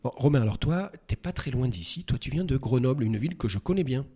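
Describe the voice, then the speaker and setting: A man's voice: medium pitch, animated, quick pace, mellow, husky. A man hosting a casual interview, chatty, with many repeated words and fillers. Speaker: host. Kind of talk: casual interview, warm banter.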